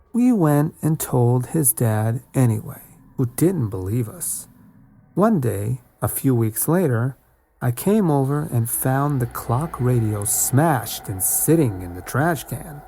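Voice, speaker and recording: A man's voice: medium pitch, vocal fry, darkish tone. A man reading a first-person scary story as a guest narrator.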